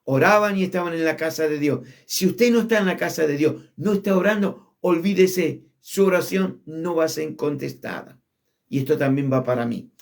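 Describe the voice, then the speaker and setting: Argentine pastor guy preaching hard, kinda high voice, lands key words low. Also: creaky. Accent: Argentine Spanish. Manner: emphatic preaching, drops low on key words, shifts between quick and drawn-out phrasing. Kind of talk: sermon.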